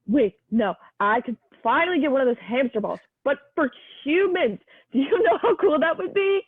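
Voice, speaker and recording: A woman's voice: high voice, expressive, normal speed, no room sound. A young woman acting in a student play as a quirky, kindly gamer, chatty and earnest. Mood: excited. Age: young adult. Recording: tinny dry phone-like audio.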